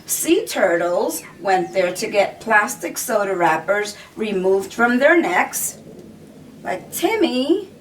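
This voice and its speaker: A woman reading a children's story aloud in a deliberate storytelling manner, pausing to point out pictures. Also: medium pitch, big pitch swings. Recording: distant mic, faint background noise.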